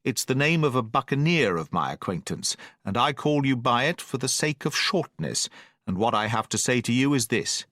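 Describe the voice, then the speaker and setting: A man voicing a stern, educated middle-aged doctor who speaks in a brisk, severe and precise way. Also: mid-range voice, animated, a bit bright, no room sound, studio quality, lightly acted. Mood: scolding.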